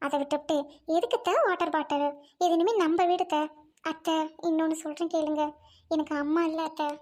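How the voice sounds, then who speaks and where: A woman voicing a humble young bride who speaks softly and politely to her new family. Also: sits high, steady tone, fairly quick, close to an everyday voice. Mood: soft, pleading. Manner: soft, polite, keeps addressing mother-in-law.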